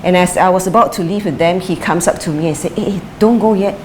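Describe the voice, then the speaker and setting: A middle-aged woman answering interview questions thoughtfully, pausing with fillers and restarting her sentences. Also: on the low side, darkish tone. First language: English is her first language; Chinese is her second. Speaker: interviewee, former sprinter and coach. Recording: light background noise.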